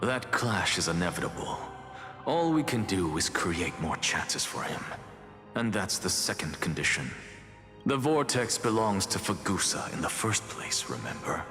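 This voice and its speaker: An actor voicing an arrogant, theatrical demigod of reason in a game, speaking in measured, articulate, haughty lines. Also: male voice, low-pitched, very animated, a bit bright, full character voice. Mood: resolute. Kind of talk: dramatic game dialogue. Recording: reverberant hall with music underneath.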